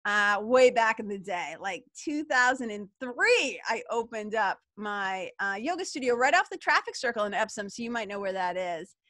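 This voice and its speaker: A middle-aged woman with a Boston accent, co-hosting a live webinar and chatting warmly with viewers in the chat. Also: mid-range voice, animated, normal speed, few pauses, dry recording. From Massachusetts. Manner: bubbly, chatty, enthusiastic. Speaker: webinar co-host.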